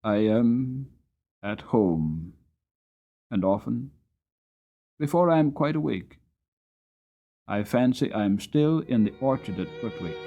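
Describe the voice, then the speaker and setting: A man giving a dramatic storybook narration as an audiobook reader, expressive and deliberate. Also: mid-range voice, highs cut off, faint music behind.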